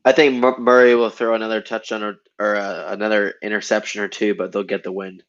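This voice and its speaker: A man with a middle-pitched voice trading football picks in a relaxed podcast chat, stumbling and restarting as he goes. His sound comes through dull and slightly lo-fi. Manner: easygoing, laughs along, halting restarts. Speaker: podcast co-host. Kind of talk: casual sports podcast chat.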